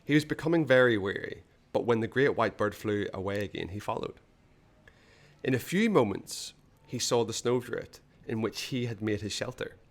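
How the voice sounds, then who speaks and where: Animated man reading a storybook aloud. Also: fairly low pitch, normal speed. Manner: theatrical, suspenseful, with hushed phrase endings. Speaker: storybook reader. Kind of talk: dramatic read-aloud narration of a children's adventure story.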